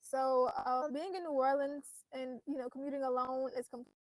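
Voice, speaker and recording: A woman panelist who speaks quietly and reflectively. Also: high voice, steady tone, a little fast, no echo, rough recording.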